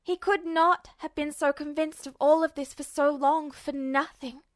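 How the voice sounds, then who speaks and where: A woman reads fan fiction aloud as a podfic narrator, in a soft and even telling. Her voice is high, and the recording is dry and close with no room sound. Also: moderate pace, no room sound. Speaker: podfic reader.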